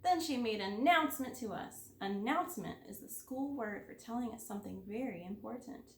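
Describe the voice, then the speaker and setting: Kindergarten teacher lady reading a kids story, expressive, highish voice, echoey room. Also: a little fast, a little hiss or hum. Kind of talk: expressive children's story read-aloud.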